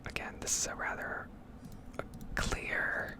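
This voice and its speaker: A woman whispers throughout in a breathy, unvoiced tone. She talks as the host of an ASMR gaming video, rambling through explanations in an analytical way. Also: a little slow.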